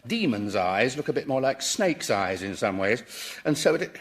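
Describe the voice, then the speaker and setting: An actor playing a cheerfully curious, unflappable professor in a comedy radio drama, chatty and donnish with a British accent. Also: male voice, medium pitch, very animated, moderate pace, natural voice. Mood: fascinated. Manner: chatty, polite, donnish, amused.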